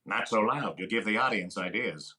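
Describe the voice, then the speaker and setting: A man's voice: on the low side, lively intonation, normal speed, bright, forceful tone. A man playing a sardonic, wisecracking vaudeville-style comedian in a 1930s radio comedy.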